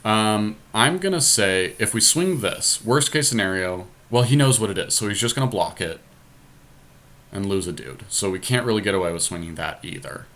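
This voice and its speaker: A man's voice: fairly low pitch, animated, moderate pace, a bit bright. A man casually narrating a card game as he plays, thinking aloud and weighing options step by step. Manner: deliberating, talks through options step by step. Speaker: podcast co-host playing and narrating an online card game. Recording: noisy amateur capture with background noise.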